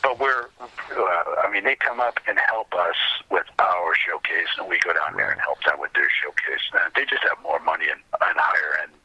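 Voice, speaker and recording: A man with a husky voice chatting casually as an interview guest, in a halting, earnest, rambling way. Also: deep voice, pushed and bright, dry recording, rough recording. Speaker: podcast guest, old-time radio enthusiast.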